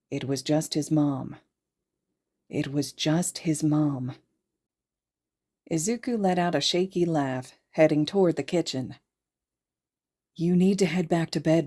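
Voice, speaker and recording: A woman's voice: deep voice, dark tone. A woman reading a story aloud as a podfic narrator, in scripted narration. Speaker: podfic reader and host.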